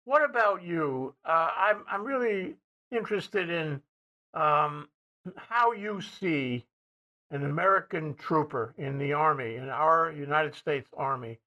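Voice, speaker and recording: A man interviewing soldiers, asking questions in a halting way with frequent restarts and throat-clearing. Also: on the high side, bright, forceful tone, highs cut off.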